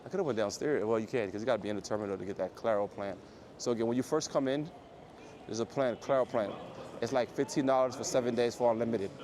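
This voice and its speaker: A man with a low voice chatting casually and rambling to his livestream viewers as he walks through an airport terminal. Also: fast. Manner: rambling, joking, talks quietly. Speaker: IRL livestreamer. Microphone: phone or camera carried while walking. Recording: noisy phone livestream audio.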